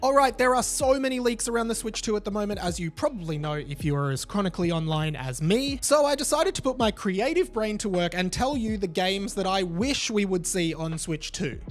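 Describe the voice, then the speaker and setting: A man's voice: sits high, expressive, brisk, few pauses. A man hosting a casual, chatty video game commentary, speaking enthusiastically to viewers in slangy language. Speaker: YouTube video host. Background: background music.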